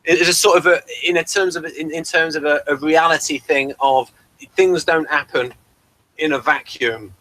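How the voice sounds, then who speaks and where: A man with a somewhat high voice and a bright, sharp edge talks in an informal discussion. He lays out his opinions in an explanatory way, restarting and repeating phrases as he builds each point. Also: fairly even intonation, moderate pace, rough recording. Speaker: podcast reviewer and discussion panelist. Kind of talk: casual discussion.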